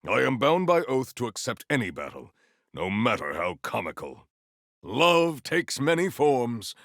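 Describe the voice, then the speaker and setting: An actor playing a proud, formal, honor-bound warrior in a video game, with archaic, theatrical diction. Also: male voice, mid-range voice, very animated, measured pace, fairly bright tone, no room sound, studio quality. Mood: solemn, measured.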